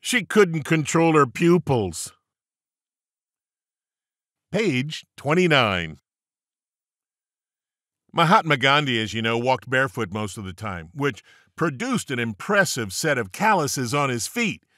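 A man's voice: mid-range voice, sing-song, takes it slowly, pauses often, fairly bright tone, no echo, clean studio sound. A man narrating an audiobook of jokes, reading page numbers aloud and landing each punchline playfully.

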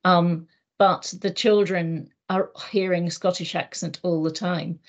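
A middle-aged woman with an English accent, a teacher giving a measured, thoughtful talk on a video call with many ums. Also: lowish voice, fairly even intonation, highs cut off. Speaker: EAL teacher. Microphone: laptop mic on a video call.

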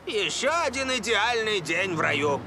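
A lazy, gravelly-drawling cartoon footballer. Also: male voice, high-pitched, expressive, a little slow, very noisy. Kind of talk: cartoon dubbing.

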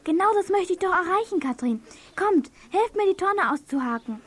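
A woman's voice: very high voice, animated, moderate pace. A woman playing a resourceful, decisive young girl leader in a children's audio play. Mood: eager. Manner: decisive, encouraging.

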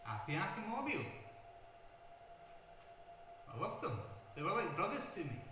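A man's voice: sits high, sing-song, normal speed. A man telling a childhood story in a reflective, slightly theatrical monologue. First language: pidgin English. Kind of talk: monologue answering an interviewer. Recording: echoey, distant, noisy.

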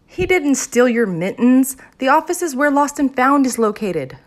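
A woman's voice: high-pitched, very animated, normal speed, pitched above the performer's own voice. A woman voicing a kindly, patient female schoolteacher in a children's audiobook, explaining things reassuringly. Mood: amused, reassuring.

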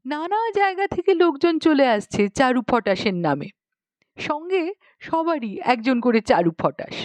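Lady reading an audio story, highish voice, really slow pace, expressive, very dry sound. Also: no room sound. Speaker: audiobook narrator. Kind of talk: expressive Bengali short-story narration.

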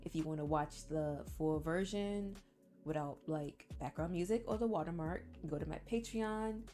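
Low-voiced young woman with a stuffy nose. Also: darkish tone. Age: young adult. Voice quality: congested, stuffy-nosed. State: sick with flu. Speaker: reaction channel host. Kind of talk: casual chat to viewers. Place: small bedroom, indoors.

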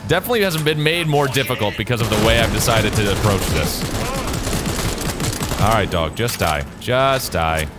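An American man hosts a gameplay video with a low, animated voice. His commentary is casual, slangy and jokey, often addressed to the game itself. Game music plays clearly behind him. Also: normal speed. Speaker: gaming video host. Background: game music and vehicle sounds.

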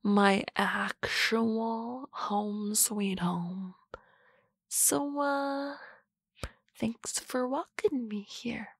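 A woman's voice: a little high, drawn-out words, creaky, husky, no echo, studio quality, heavily acted. A woman voicing a drunk young female supervisor in an ASMR roleplay, rambling and often dropping to a whisper. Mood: flustered. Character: tipsy young woman boss, flustered, shy crush. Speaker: voice actress.

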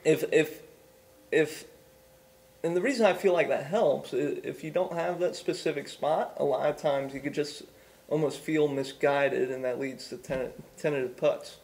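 A man in his thirties with a slightly high, gravelly voice chats about golf at a studio desk. He stumbles and restarts his sentences often while he works out his point. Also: moderate pace, darkish tone. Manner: frequent stammering restarts and agreeing fillers. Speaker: golf instructor and co-host. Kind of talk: casual studio chat. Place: small studio set with a backdrop.

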